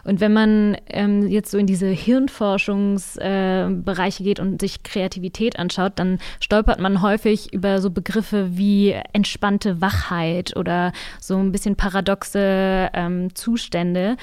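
A woman's voice: mid-range voice, little pitch movement, a little slow, hardly any pauses, darkish tone, smooth, dry recording. A woman interviewing a guest on a podcast, phrasing her questions carefully and tentatively in a conversational style.

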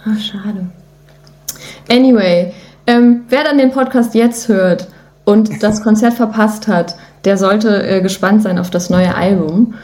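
A woman's voice: mid-range voice, a little slow, a little mellow. A woman talking casually and informally as a musician guest in an interview, with an enthusiastic manner. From Germany. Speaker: podcast guest, musician and singer.

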